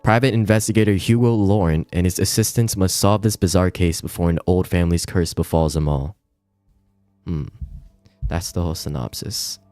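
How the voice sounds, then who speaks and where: A man with a low, smooth voice streams a game in a casual, slangy, somewhat hesitant manner. The sound is close and dry, with music playing underneath. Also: steady tone, moderate pace, mellow, dry recording. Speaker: livestreamer. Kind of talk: casual livestream chat, reading game text aloud.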